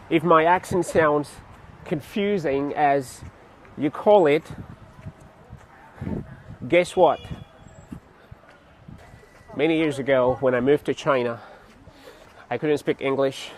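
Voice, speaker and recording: A young man from Cameroon chatting casually to his vlog viewers outdoors on a city street, laughing often and pausing with fillers. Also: on the high side. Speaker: vlogger. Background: faint street traffic.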